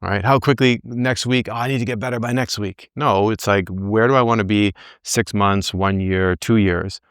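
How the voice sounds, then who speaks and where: A man's voice: fairly low pitch, fairly even intonation, normal speed, fairly bright tone, smooth, no echo, studio quality. A man in his early forties giving an informal motivational coaching talk, conversational, often checking in with "right?" and voicing his own thoughts as quotes. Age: early 40s. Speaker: back pain coach leading a group session.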